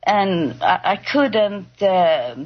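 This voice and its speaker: A woman's voice: medium pitch, lively intonation. Woman, Swedish accent, non-native English, lots of fillers, lo-fi radio audio. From Sweden. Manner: halting, searching for English words, many fillers. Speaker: guest. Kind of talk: personal testimony on a call-in show.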